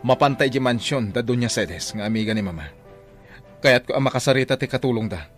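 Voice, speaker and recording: Man playing a determined young Filipino gentleman, music behind. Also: medium pitch, a little fast, close to an everyday voice. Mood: urgent. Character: determined young gentleman son of a wealthy madam. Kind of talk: Ilocano radio comedy-drama acting. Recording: radio drama with music behind.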